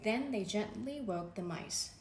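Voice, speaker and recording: A woman reading a children's bedtime story aloud, gently and expressively. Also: mid-range voice, animated, unhurried. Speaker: storybook reader. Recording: echoey room with faint background noise.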